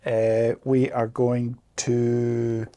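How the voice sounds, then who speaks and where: Brief: Scottish co-host, mellow tone, relaxed, easygoing delivery. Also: male voice, mid-range voice, extremely slow. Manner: easygoing, laughs often, hesitant fillers. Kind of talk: casual chat.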